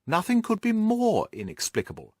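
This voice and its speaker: Man reading as precise gentleman detective, lively intonation. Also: sits high, normal speed, no echo, studio quality, pitched above the performer's own voice, heavily acted. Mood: analytical, assured. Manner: precise, methodical, didactic explaining. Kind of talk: audiobook character dialogue.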